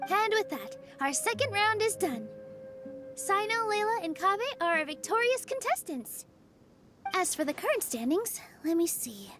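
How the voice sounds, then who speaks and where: A woman playing a polite, gentle young contest announcer who speaks courteously and carefully in an anime-style video game. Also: extremely high pitch, very animated, moderate pace, soft background music, exaggerated voice. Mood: polite, encouraging.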